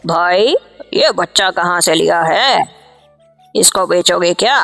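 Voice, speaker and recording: Woman as a shrewd hunter, slow-talking. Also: lowish voice, animated, gravelly, fairly bright tone, faint music behind, full character voice. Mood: calculating. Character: shrewd, grasping male hunter striking a deal.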